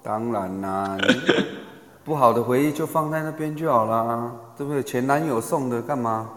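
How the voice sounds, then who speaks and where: A man's voice: mid-range voice, moderate pace, fairly bright tone. A man bantering casually in a group chat with a joking, teasing manner. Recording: echoey online room, several voices behind.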